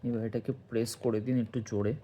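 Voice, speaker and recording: A man's voice: medium pitch, level tone, fast talker, dark tone, slight background noise. A man giving a casual hands-on tutorial, explaining step by step and speaking directly to viewers. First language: Bengali. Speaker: motovlogger and product reviewer.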